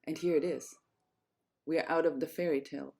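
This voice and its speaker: A woman's voice: sits low, fairly even intonation, fairly quick, gravelly, darkish tone. A woman storyteller narrating a fairy tale, reading it aloud.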